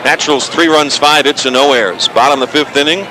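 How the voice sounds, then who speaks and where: A man calling live sports on radio as play-by-play announcer, given to excited shouting on big plays. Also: fairly high pitch, fast, low-quality recording. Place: broadcast position at outdoor sports stadium. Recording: crowd and outdoor noise behind voice. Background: crowd noise.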